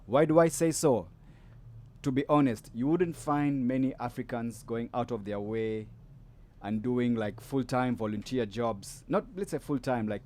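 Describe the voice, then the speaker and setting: A man's voice: mid-range voice, a little mellow. A man hosting a podcast, chatting casually with a guest, loose and rambling with lots of fillers and restarts. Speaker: podcast host. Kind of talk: casual interview chat.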